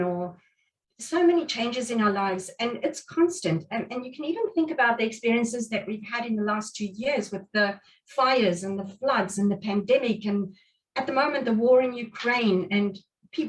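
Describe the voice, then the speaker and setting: Afrikaans-native woman, middle-aged, sick with covid, earnest speaker, distant mic. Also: a little high. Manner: earnest, reflective, many restarts and fillers. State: has covid. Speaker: guest speaker. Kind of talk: motivational talk at a meetup.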